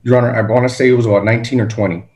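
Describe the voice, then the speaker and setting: A man arguing as a prosecutor before a judge, respectful, halting and often correcting himself. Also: mid-range voice, steady tone, a little mellow. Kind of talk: courtroom argument to a judge.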